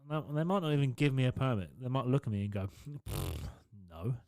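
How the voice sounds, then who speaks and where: A man's voice: medium pitch, lively intonation, moderate pace, creaky, darkish tone, no echo, studio quality. A young man co-hosting a casual podcast, swearing often and laughing as he tells his story. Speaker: podcast co-host. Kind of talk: casual chat. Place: home garage. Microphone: studio podcast mic.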